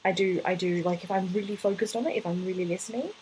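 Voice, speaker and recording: A woman's voice: mid-range voice, fairly even intonation, fast, a little mellow, a little hiss or hum, rough recording. A woman co-hosting a podcast in casual conversation over an online call. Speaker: podcast co-host.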